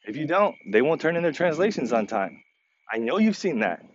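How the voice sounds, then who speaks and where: A man's voice: a little high, big pitch swings, husky, highs cut off. Earnest man with a slightly crackly voice. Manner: halting, with many fillers and restarts; earnest, repeats himself to stress points. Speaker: company president addressing staff. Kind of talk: informal podcast address to employees.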